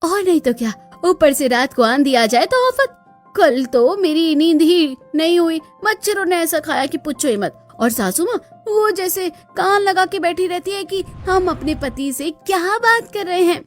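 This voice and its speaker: A woman's voice: very high-pitched, animated, unhurried, faint music behind. A woman voicing a fed-up young village daughter-in-law in a cartoon, grumbling and gossipy. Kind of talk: Hindi cartoon dialogue.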